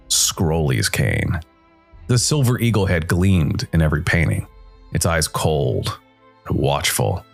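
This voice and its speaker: A man narrating a scripted first-person noir story as a world-weary supernatural detective, brooding and dramatic, with deliberate, weighted pauses. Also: sits low, creaky, heavily acted. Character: world-weary supernatural noir detective, male jazz musician working for Death.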